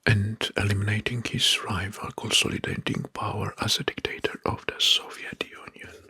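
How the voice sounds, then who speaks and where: A man narrating in a whispered ASMR style, slow and deliberate with a breathy voice, close to the mic. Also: on the low side, little pitch movement. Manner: slow, deliberate, repeats words softly. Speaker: host of ASMR history video. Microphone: close mic, dry.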